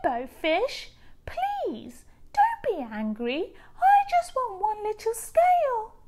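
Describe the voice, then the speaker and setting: A tiny, eager male storybook fish, voiced by a woman, speaks in a very high, bouncy voice. He sounds childlike, excitable and pleading. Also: slow, full character voice. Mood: gentle pleading. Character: eager little young male blue fish, pleading sweetly.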